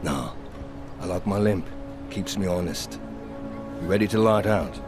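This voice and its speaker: An actor playing a blunt, cynical veteran pilot in a video game, sardonic, cocky and colloquial. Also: male voice, low-pitched, unhurried, music in the background. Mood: cocky, needling. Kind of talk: video game cutscene acting.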